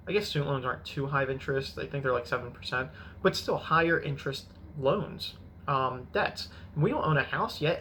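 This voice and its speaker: A man in his mid-thirties talks through his past in an easy, meandering vlog style, stopping now and then to correct himself. His voice is bright and forward, with a faint hum of room ambience behind him. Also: medium pitch. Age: 30s. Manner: rambling, self-correcting storytelling. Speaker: finance vlogger. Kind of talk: casual personal vlog.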